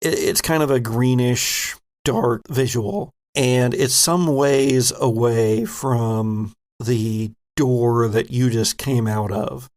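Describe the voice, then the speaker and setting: A man narrating a tabletop game as game master, in an unhurried, descriptive way. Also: medium pitch, darkish tone.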